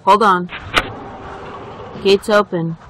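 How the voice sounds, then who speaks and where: An actor playing a brusque prison security lieutenant giving commands in an audio drama. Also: male voice, high voice, steady tone, slow pace, fairly bright tone, slight background noise. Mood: official. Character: barking male prison security guard lieutenant.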